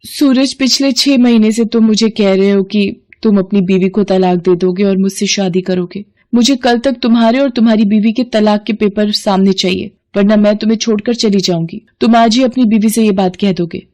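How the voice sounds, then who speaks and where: A woman's voice: mid-range voice, moderate pace, hardly any pauses, a little mellow, natural voice. A woman voicing a demanding young mistress in a storybook drama, insistent and threatening with her lover.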